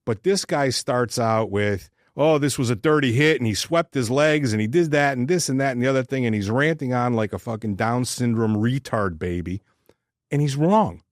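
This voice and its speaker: A man's voice: mid-range voice, lively intonation, moderate pace, no echo, clean studio sound. A man from Detroit with an American accent, co-hosting a casual sports talk show in a blunt, profane, opinionated ranting style. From Detroit, United States. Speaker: sports podcast co-host.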